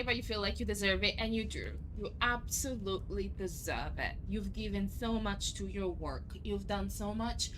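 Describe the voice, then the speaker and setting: A young adult woman with an Italian accent, chatting casually and excitably with her viewers while streaming a game, swearing freely. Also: mid-range voice, noisy background. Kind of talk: casual live-stream chat over gameplay.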